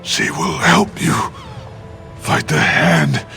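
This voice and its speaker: Gravelly dying old chief. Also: male voice, a little high, slow pace, sharp tone, faint music behind, exaggerated voice. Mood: solemn, faltering. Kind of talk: video game character acting.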